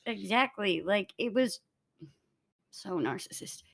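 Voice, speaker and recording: A woman's voice: mid-range voice, animated, measured pace, creaky. A woman co-hosting a casual true-crime podcast, talking in an emphatic, matter-of-fact way. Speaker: podcast co-host.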